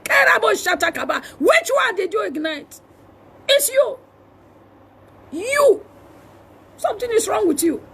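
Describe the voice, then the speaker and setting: A woman with a Nigerian accent preaching a fiery charismatic sermon, forcefully exhorting and often shouting, with emphatic repeated phrases. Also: extremely high pitch, very animated, unhurried, creaky, pauses often. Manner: shouting delivery. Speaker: preacher and prophet.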